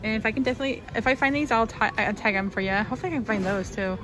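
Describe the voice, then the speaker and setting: A woman's voice: a little high, rapid-fire, low-quality recording. A young woman filming a shopping vlog in a store aisle, chattily reading out product labels and prices with lots of fillers. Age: young adult. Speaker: shopping vlogger. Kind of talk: casual shop-with-me narration.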